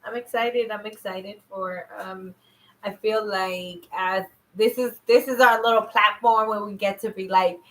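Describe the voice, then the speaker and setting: A woman's voice: medium pitch, fairly even intonation, measured pace, fairly bright tone, poor audio. A woman co-hosting a podcast in casual, playful, informal chat. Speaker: podcast co-host.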